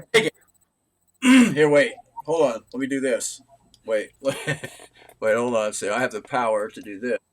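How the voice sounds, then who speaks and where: A man hosting a collectors' chat in an excitable, teasing way, with loud bursts. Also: mid-range voice, slow pace, pauses often. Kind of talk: casual panel chat.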